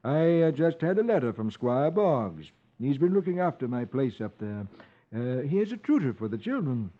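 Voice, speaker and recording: A man playing a genial middle-aged gentleman boss who pauses as he chats, in 1950s radio style. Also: mid-range voice, sing-song. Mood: mildly pleased. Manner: genteel, pausing, conversational. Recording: muffled old radio recording.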